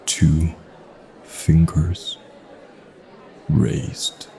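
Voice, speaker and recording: A man narrating a scripted horror story with deliberate pauses, his phrases sometimes trailing into hushed breath. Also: extremely low pitch, completely flat delivery, gravelly.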